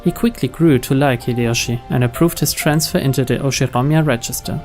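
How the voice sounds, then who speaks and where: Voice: mid-range voice, a little fast, a little mellow. A narrator reading scripted visual novel story text. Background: background music.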